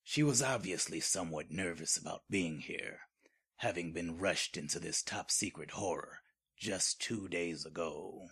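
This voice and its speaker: Very deep, raspy male horror narrator. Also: a little slow, fairly bright tone. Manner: ominous, dramatic. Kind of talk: scripted horror story narration.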